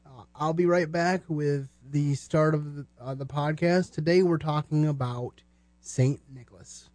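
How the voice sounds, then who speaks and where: A man hosting a podcast in a conversational style, with measured, halting phrasing. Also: fairly high pitch, darkish tone, no room sound. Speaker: podcast host. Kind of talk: conversational podcast presenting with religious commentary.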